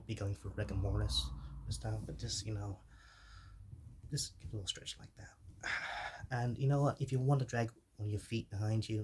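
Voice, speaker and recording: A man playing an easygoing, shy zombie mentor in an ASMR roleplay, speaking softly and haltingly, often dropping into whispers. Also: fairly low pitch, a little hiss or hum, close to an everyday voice. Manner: halting, chatty, reassuring, with sighs. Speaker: ASMR performer.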